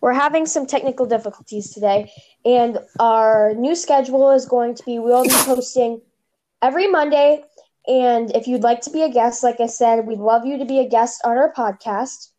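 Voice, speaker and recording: A woman's voice: on the high side, moderate pace, mellow. A woman hosting a casual podcast over a phone, chatty and rambling, with a slight lisp. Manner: chatty, rambling, restarts often. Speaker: podcast host.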